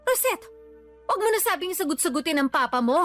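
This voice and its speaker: A high-voiced, long-suffering mother. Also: very animated, brisk, faint music behind. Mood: pleading. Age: middle-aged. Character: long-suffering, peacemaking middle-aged mother and wife. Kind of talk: Tagalog radio drama acting.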